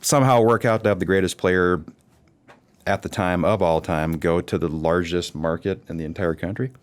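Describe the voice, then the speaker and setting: A man's voice: low voice, moderate pace. An American man taking part in a casual podcast conversation as a panelist.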